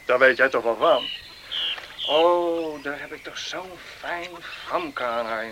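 A man's voice: medium pitch, slow pace, lightly acted. An actor in a radio play playing a jovial, boastful young countryman, chatty and hearty. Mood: smitten, boastful. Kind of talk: radio drama acting. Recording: noisy old radio play, outdoor clicks.

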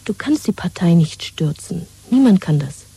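Very soft, breathy defiant lover. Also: female voice, lowish voice, big pitch swings, normal speed, no echo, exaggerated voice. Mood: intimate, persuasive. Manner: intimate, breathy, often half-whispered. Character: pragmatic, mocking female lover, secretly defiant of the regime. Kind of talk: 1970s German radio play acting.

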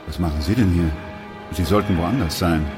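Male actor as weary doctor-narrator, very low pitch, measured, restrained, radio drama, faint music behind. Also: lightly acted. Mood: businesslike. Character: weary, sober male doctor who narrates a plague chronicle. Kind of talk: German radio play acting and narration.

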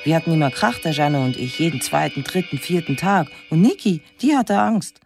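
A woman's voice: deep voice, lively intonation, a little fast, gravelly. An actress playing a fearless, blunt woman giving emphatic, conversational testimony in a radio drama. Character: fearless, blunt woman recalling constant rows. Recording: music under the voice. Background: instrumental music.

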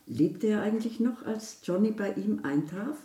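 A woman's voice: medium pitch, expressive, normal speed, warm tone, echoey room, distant mic, close to an everyday voice. An actress playing a worldly older woman, a novelist and affable family friend, chatting in a radio drama. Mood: sympathetic, probing. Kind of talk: German radio drama acting.

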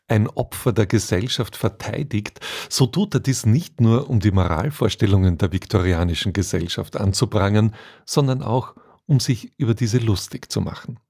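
A man's voice: on the low side, mellow, slightly rough. A man presenting a radio play with a scripted introduction, as a broadcast announcer.

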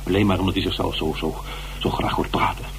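An actor in a radio drama playing a flustered, indignant lawyer who stammers in shock, with Netherlands Dutch speech. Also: male voice, deep voice, steady tone, fast talker, creaky. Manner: stammering, aghast. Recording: noisy, humming radio broadcast recording.

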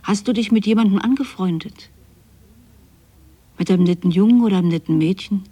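A woman's voice: mid-range voice, a little fast, mellow, breathy edge, natural voice. An actress playing a lonely, possessive widowed mother in a radio drama, speaking a letter to her absent son in a tender, plaintive way. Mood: pleading, longing. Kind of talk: intimate radio drama monologue, spoken as a letter.

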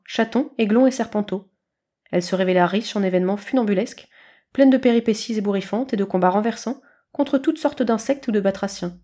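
Female podfic narrator, medium-pitched voice, expressive scripted storytelling.

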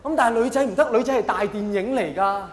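A man's voice: very high-pitched, fast. A male stand-up comedian with a Hong Kong accent playing an earnest, patronising man who coaxes a teenage boy. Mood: eagerly explaining. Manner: patronising, coaxing, over-patient. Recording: echoey hall reverb, faint background noise.

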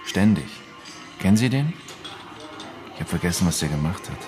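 A man's voice: low-pitched, animated, normal speed. A man playing a blunt, offhand witness being questioned in a naturalistic radio drama. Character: blunt male former acquaintance of a dead girl, witness. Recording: music behind the dialogue.

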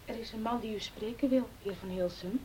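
Obedient servant, very breathy, mellow, polite, restrained, radio drama. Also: female voice, fairly high pitch, fairly quick, rough recording. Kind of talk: Dutch radio play acting.

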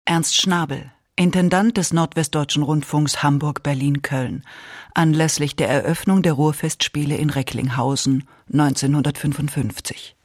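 Documentary announcer, mid-pitched, very dry studio-like sound, scripted identifications. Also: fairly even intonation, normal speed, no echo.

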